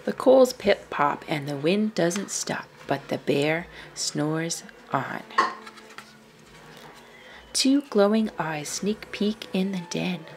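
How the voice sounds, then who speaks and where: A woman reading a children's picture book aloud in an animated, rhythmic storytelling manner. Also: fairly low pitch, slow, gravelly, noisy background.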